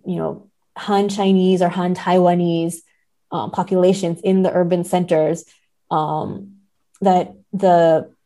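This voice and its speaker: A woman's voice: fairly low pitch, warm tone. A woman speaking as a podcast guest in a thoughtful literary discussion, analytical and careful, often checking in as she goes. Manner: hedging, analytical, often seeking agreement with "right?".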